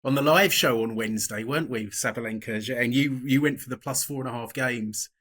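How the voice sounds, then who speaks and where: Bright-voiced podcast host. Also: male voice, medium pitch. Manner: brisk scripted intro, then relaxed and self-deprecating. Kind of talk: podcast hosting, betting preview chat.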